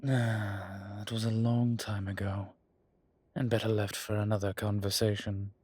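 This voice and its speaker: A man playing a centuries-old vampire, a genial but weary former soldier who speaks haltingly and deliberately in an audio roleplay. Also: fairly low pitch, little pitch movement, darkish tone, slightly rough, no echo. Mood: wry, defensive. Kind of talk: dramatic audio roleplay acting.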